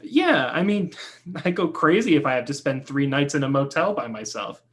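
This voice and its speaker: A man's voice: a little high, expressive, normal speed, slight background noise, close to an everyday voice. An actor reading a stage play as an uptight, polite screenwriter brother, hesitant and guarded as he speaks. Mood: puzzled.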